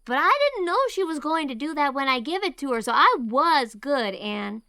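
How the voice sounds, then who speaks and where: Small boy, sulky and defiant yet eager for approval. High, sharp childlike voice for storybook drama. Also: sing-song, moderate pace, no echo, clean studio sound, heavily acted. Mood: proud, confident. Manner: ungrammatical small-child talk, defiant and plain-spoken. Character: sulky, earnest little boy seeking approval. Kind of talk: audiobook drama acting.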